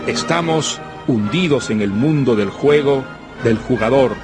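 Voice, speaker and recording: A man hosting a literary radio show, giving a scripted introduction in a measured, declarative way. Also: mid-range voice. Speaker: host. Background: music behind the voice.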